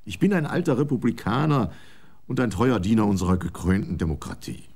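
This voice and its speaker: Ein Schauspieler spielt einen älteren, wichtigtuerischen Fernsehchef, der weitschweifig redet. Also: male voice, fairly low pitch, lively intonation, brisk, creaky, a little mellow, breathy edge, exaggerated voice. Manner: self-important, long-winded. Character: pompous older male TV executive, old republican.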